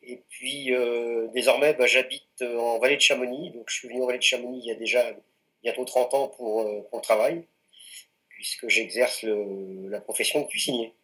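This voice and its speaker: A man in his late forties from France's Anjou region, a mountaineer answering interview questions in a relaxed, hesitant way with many fillers. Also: medium pitch, moderate pace, rough recording. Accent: French. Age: 40s. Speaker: mountaineer guest.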